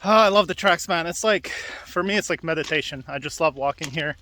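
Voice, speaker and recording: A man's voice: fairly high pitch, brisk, sharp tone, a little hiss or hum. A man hosting a casual walking video podcast outdoors, rambling and upbeat, laughing often and talking with many fillers. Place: outdoors by railway tracks.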